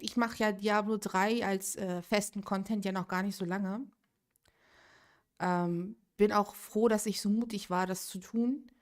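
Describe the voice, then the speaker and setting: Chatty woman streamer in her thirties. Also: mid-range voice. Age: 30s. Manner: chatty, thinks aloud, reacts to chat. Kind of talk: casual live stream chat with viewers.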